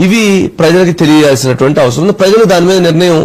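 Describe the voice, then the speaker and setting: A man giving political commentary, phrasing things deliberately and emphatically with repeated rhetorical questions. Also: on the high side, animated, a little mellow. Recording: clearly noisy background with clicks.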